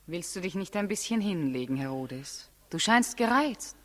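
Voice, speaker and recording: Low-voiced, shrewd royal counsellor. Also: female voice, big pitch swings. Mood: probing, calm. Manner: measured, coolly persuasive, theatrical diction. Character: composed, shrewd royal woman counselling the king. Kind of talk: 1960s German radio drama acting. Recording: 1960s radio studio, long reverb.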